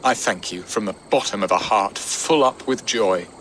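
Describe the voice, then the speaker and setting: A man's voice: on the low side, moderate pace, fairly bright tone, a little hiss or hum, natural voice. A man playing a theatrical, lovestruck Saxon lord, florid and playful, in a video game. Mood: jovial, affectionate. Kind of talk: video game cutscene acting.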